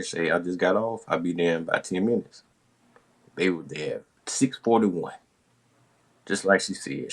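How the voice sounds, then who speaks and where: A man's voice: low voice, a bit bright, rough recording. An American man hosting a podcast, casually telling stories from his younger days in slangy, profane talk, acting out the dialogue as he goes. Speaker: podcast host.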